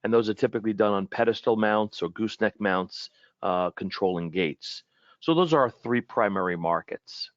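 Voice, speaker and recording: A man giving an informal webinar product presentation in a halting way, often repeating and rephrasing his words. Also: fairly low pitch, no room sound, highs cut off.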